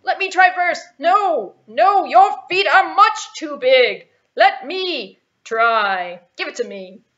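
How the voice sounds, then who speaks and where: A woman's voice: high voice, animated, slow pace, a bit bright, voice pushed up, exaggerated voice. A woman voicing a bossy, whiny, jealous young stepsister in a puppet show, on a dull recording. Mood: pushy, squabbling.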